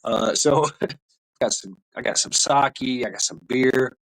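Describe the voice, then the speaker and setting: A man's voice: mid-range voice, slow, vocal fry, dry recording, poor audio. Man, livestream host, casual, rambling, tipsy, laughing, lots of uhs.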